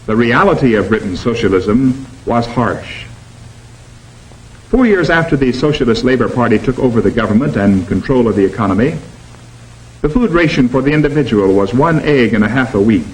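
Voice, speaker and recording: Emphatic 1950s documentary narrator. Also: male voice, medium pitch, lively intonation, low-quality recording. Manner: measured, emphatic listing delivery.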